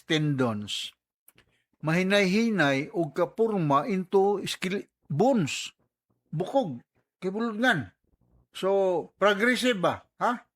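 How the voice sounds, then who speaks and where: Male radio host, Cebuano accent, fairly high voice, chatty advice style, joking asides, English phrases mixed in. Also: a bit bright.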